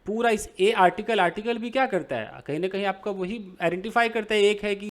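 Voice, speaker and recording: A young man with an Indian accent teaching English to beginners, reading English phrases slowly and explaining them with many questions to his viewers. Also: high-pitched, fairly bright tone. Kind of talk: explaining a newspaper article to beginners.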